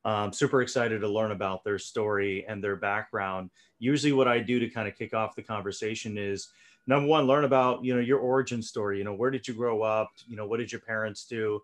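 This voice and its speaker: A man interviewing guests over a video call, casual and relaxed, with many fillers. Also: on the low side, a little fast. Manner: relaxed, filler-heavy moderating.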